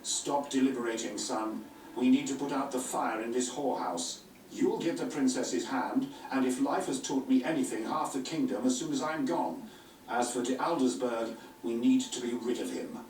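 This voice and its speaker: A man's voice: mid-range voice, moderate pace, darkish tone, slight background noise, close to an everyday voice. An actor playing a brusque, crude male king giving orders in a game cutscene. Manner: brusque, coarse, domineering. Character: crude commanding middle-aged male king. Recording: echoey distant game audio with music.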